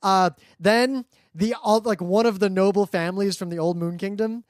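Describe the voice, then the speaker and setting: A man's voice: high voice, steady tone, normal speed, dry recording, clean studio sound. A man co-hosting a podcast in casual geeky chat, explaining things in a rambling, enthusiastic way. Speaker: podcast co-host.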